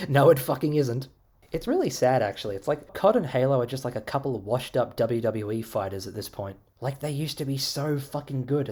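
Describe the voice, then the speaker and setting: An Australian man casually answering viewer comments, animated and sarcastic with plenty of swearing. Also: medium pitch. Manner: animated, expressive, sarcastic and profane.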